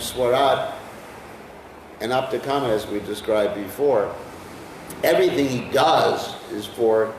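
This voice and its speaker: A man's voice: mid-range voice, distant mic, a little hiss or hum. American man lecturing in an echoey room. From United States. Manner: conversational, asks the class questions. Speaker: lecturer on scripture. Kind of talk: scripture class talk. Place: class room with listeners.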